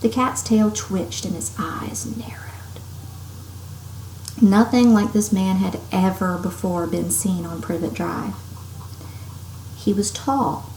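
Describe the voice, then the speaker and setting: A woman's voice: medium pitch, a little mellow. A woman teacher reading a story aloud to children expressively and emphatically, with casual asides. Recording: roomy echo, faint background noise.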